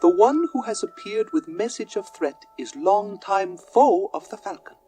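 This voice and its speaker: A man's voice: high-pitched, lively intonation, measured pace, mellow, faint music behind. A chubby alien detective in a radio drama. He is humble and obsequious, speaking in stilted, flowery phrases with great formality. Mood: earnest, courteous. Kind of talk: radio play acting.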